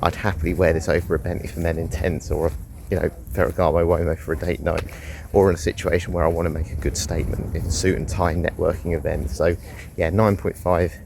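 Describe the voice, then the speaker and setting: Deep-voiced sniffly man. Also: little pitch movement, fast, vocal fry, noisy background. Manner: chatty, rambling, with sighs. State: sniffly with a head cold. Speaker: fragrance reviewer. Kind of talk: casual review while walking. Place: outdoors on a walk.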